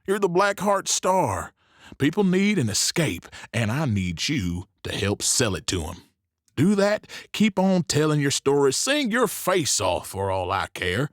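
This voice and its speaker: A man plays a protective, shrewd saloon boss in an audio drama. He speaks in a measured, knowing way with a very mobile pitch, close and dry. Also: wildly swooping pitch, no room sound. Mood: protective warning. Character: shrewd, protective Old West saloon owner.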